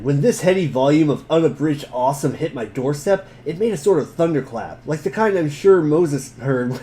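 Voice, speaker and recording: A man's voice: on the high side, warm tone, slight background noise. A man narrating an amateur group dramatic reading in a jokey, theatrical way. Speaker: narrator and reader.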